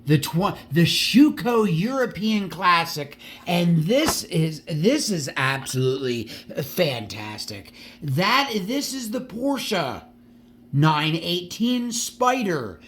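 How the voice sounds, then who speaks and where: Man, high voice, slow pace, slight stutter, restarts, enthusiastic, casual collector vlog. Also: big pitch swings, a bit bright, slight background noise. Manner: halting, repeats words and restarts often; stutters at times. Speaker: collector showing diecast models.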